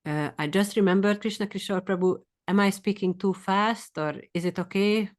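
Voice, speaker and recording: A woman with a Hungarian accent teaching a scripture study class, quick and eager, sometimes speeding up. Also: mid-range voice, steady tone.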